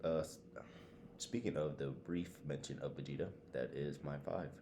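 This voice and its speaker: A man's voice: rumbling low, steady tone, normal speed. A man co-hosting a podcast, giving blunt opinions in casual, slangy, sweary conversation. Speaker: podcast co-host. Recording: echoey room with faint background noise.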